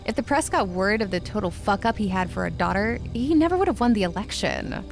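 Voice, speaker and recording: An actress plays a tough young woman in her twenties, wounded and bitter. She speaks in a mid-range voice, guarded and defensive, in an audio drama with music clearly behind her. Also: medium pitch, animated, brisk, natural voice. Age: 20s. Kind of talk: dramatized audiobook, first-person recounting.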